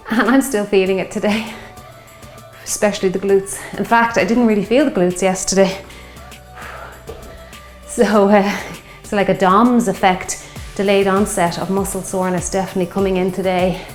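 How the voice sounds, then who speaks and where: A slightly hoarse woman in her fifties leads a strength class, calling out moves and counting reps as she exercises along. Her voice rings in a roomy space with faint music underneath. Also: medium pitch, steady tone, moderate pace. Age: 50s. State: slightly hoarse from a sore throat. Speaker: fitness instructor. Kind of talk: guided workout instruction.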